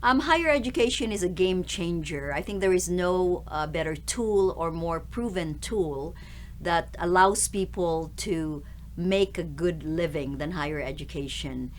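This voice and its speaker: A middle-aged woman from the Philippines, a university president, answering interview questions in a measured, articulate way. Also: lowish voice, slight background noise.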